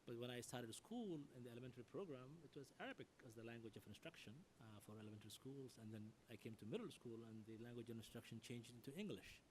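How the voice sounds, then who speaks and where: A man speaks at an ordinary middle pitch in a careful, explanatory way with plenty of pauses and fillers. The panel recording carries a clear, steady hiss underneath his voice. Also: low-quality recording. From Somalia. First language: Somali. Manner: measured, explanatory, with frequent fillers. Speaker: education department official on a panel. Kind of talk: panel discussion.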